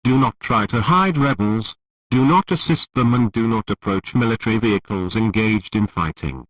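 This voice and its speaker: A man's voice: on the low side, moderate pace, no echo, far from the mic, rough recording. A man reading a formal scripted emergency broadcast announcement in a stiff, impersonal, official manner.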